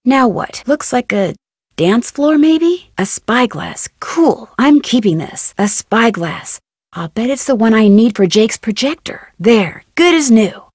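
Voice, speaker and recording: A young woman with an American accent playing a curious, upbeat teenage girl detective in an adventure game, speaking in a clear, inquisitive way. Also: fairly high pitch, expressive, measured pace, a little mellow, no room sound, studio quality. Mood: puzzled, curious. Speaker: video game voice actor. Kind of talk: scripted adventure game lines, thinking aloud.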